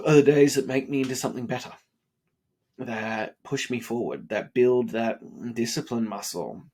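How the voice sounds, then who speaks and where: A man's voice: mid-range voice, a little mellow, husky. A congested, tired man in his thirties giving an earnest, rambling motivational talk late at night. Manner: earnest, rambling, many fillers. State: congested and sniffly. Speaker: vlogger.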